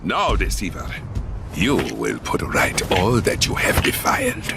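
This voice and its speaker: An actor voicing a solemn, imperious ancient Egyptian god with grave, formal speech for a video game. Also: male voice, low voice, huge pitch swings, measured pace, gravelly, heavy background noise, exaggerated voice. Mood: stern. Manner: grave, formal, archaic pronouncements. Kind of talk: video game cutscene acting. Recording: distant voice under loud music.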